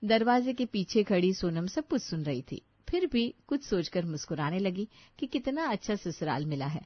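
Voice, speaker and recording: A woman tells a scripted children's story in a medium-pitched voice. The recording is very dry and slightly muffled. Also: very animated, normal speed, no room sound.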